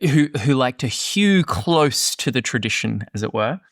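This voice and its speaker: Male podcast co-host, mid voice, measured and hedging, self-repeating, dry recording. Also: mid-range voice, animated, fairly bright tone, no echo. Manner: thoughtful, hedging, repeats words. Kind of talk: casual conversation.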